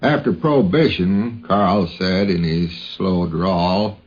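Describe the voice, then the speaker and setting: Deep, slow-paced male radio narrator. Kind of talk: scripted reminiscence narration. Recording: muffled 1950s radio recording.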